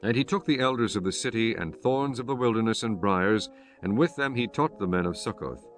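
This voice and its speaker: Low-voiced man narrating scripture over music. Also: animated, fairly quick, a bit bright.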